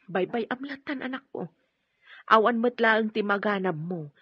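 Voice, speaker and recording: A woman acting a patient, apologetic poor mother in an Ilocano audio drama, with a husky voice. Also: mid-range voice, moderate pace, no echo, lightly acted. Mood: soothing, concerned. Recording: dull, lo-fi dry recording.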